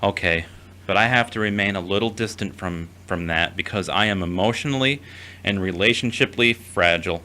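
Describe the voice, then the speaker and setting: A man acting in an audio drama as a gentle, earnest, emotionally fragile young man, speaking in a measured, reassuring way. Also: sits low, slight background noise.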